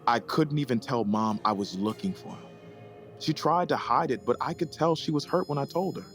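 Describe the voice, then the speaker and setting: An actor playing an earnest, conciliatory adult brother who gets exasperated, in an audio drama. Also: male voice, medium pitch, moderate pace, fairly bright tone, soft background music, lightly acted. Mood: earnest, pleading.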